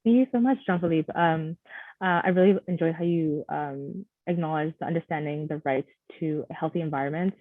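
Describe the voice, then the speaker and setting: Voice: mid-range voice, a little fast, no echo. A panel moderator, speaking in an earnest way while thanking speakers and moving the discussion on. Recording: tinny, dry phone-like sound.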